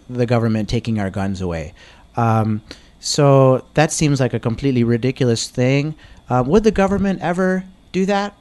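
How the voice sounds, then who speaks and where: A man hosting a conversational interview, posing rhetorical questions in a halting manner. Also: a little high, a little mellow. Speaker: talk show host. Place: inside a gun store.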